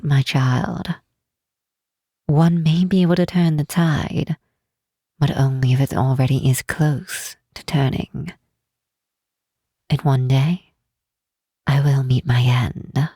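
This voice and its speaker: Asmr lady playing a regal demon queen mom, low and slow, soft n breathy, tender but commanding. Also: no room sound, clean studio sound, heavily acted. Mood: solemn, instructive. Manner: measured, formal, tender, unhurried. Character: regal demon queen mother, doting, shrewd, calmly commanding. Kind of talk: ASMR roleplay.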